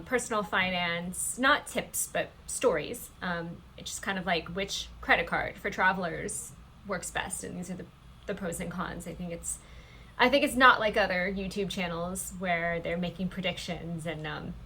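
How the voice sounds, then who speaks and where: A woman's voice: medium pitch, sharp tone, a little hiss or hum. A woman hosting a casual interview, chatty and rambling with fillers. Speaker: host, YouTuber.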